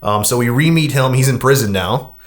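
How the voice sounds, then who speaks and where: A man hosting a podcast, recapping a film in a casual, rambling, halting way. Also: medium pitch. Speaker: podcast host.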